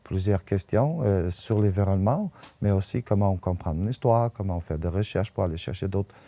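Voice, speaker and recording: An older man, in his sixties, with a Portuguese accent speaks in a low, relaxed voice, recorded close and dry. He talks conversationally, as in an interview, repeating words while he looks for the right phrase. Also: a little fast, no room sound. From northern Portugal. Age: 60s. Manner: hesitant, repeats words as they search for phrasing. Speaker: interviewed writer and sociologist. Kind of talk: conversational interview, personal anecdotes.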